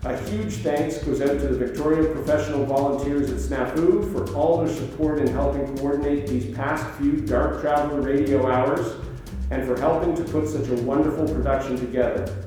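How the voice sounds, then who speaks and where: A man's voice: medium pitch, fairly even intonation, moderate pace, dark tone, natural voice. A man playing a mysterious, grandly courteous late-night radio host, signing off his show theatrically. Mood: mysterious, grateful. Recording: very echoey room, distant, faint music.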